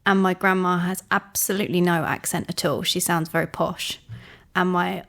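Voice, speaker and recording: A woman's voice: on the low side, little pitch movement, moderate pace, creaky, a bit bright. A woman from England co-hosting a podcast, explaining things plainly in casual chat. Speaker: podcast co-host.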